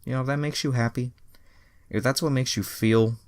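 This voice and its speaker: A man's voice: mid-range voice, steady tone, a little fast, a little mellow, dry recording. A man reading fan fiction aloud, breaking off into exasperated, sweary asides. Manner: exasperated, sweary commentary on the text. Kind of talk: dramatic reading with casual profane asides.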